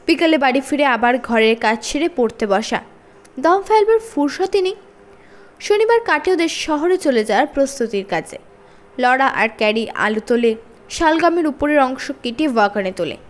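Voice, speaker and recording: A woman narrates a story for children. Her voice sits high and moves with lively swings in pitch. The reading is expressive, in the manner of a storyteller.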